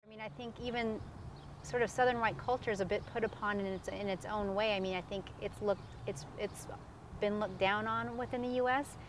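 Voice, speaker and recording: A woman's voice: medium pitch, fairly even intonation, brisk, slight background noise. A middle-aged woman, an author, answering interview questions thoughtfully outdoors, hedging often with "I mean". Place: outdoors on a park lawn.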